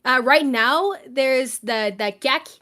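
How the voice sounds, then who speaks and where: A young woman talks with a slightly raised pitch and a light Quebec tinge in her English. She chats casually on a podcast, wandering through long explanations packed with "like" and side remarks. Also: takes it slowly, bright, forceful tone. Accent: Quebec French-tinged English. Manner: rambling, filler-heavy, explains with many asides. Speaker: podcast co-host. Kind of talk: casual chat.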